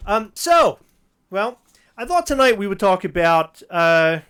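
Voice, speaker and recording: A podcast host, slightly hoarse, chatting on a livestream and ranting loosely with plenty of fillers and restarts. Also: medium pitch, sing-song, a little slow, a bit bright. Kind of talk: casual livestream chat.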